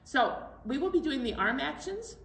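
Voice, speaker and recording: A woman's voice: high voice, sing-song, moderate pace, vocal fry, a bit bright. A middle-aged woman leading an activity for young children, often checking in with them by asking questions. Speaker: children's storytime host. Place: indoor library storytime room. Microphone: camera several feet away. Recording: echoey room, distant microphone.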